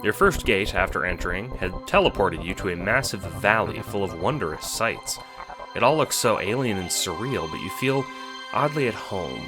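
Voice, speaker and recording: Animated, low-voiced man narrating a story. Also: normal speed, music in the background, poor audio. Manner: animated, expressive. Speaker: narrator of an audio drama. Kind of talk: scripted second-person storytelling.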